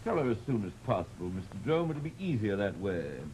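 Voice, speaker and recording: Radio villain: coldly polite, formal, insinuating, very animated intonation, old noisy recording. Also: male voice, lowish voice, a little fast. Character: sinister, formal, coldly polite male go-between. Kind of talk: old-time radio drama acting.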